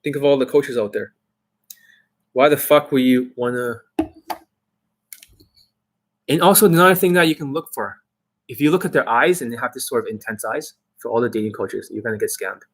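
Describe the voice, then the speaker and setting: A young man speaking straight to his viewers in a blunt, swearing rant. He fires off rhetorical question after rhetorical question in a husky voice at an ordinary pitch. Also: normal speed, long pauses, a bit bright. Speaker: dating coach. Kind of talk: ranting monologue to camera.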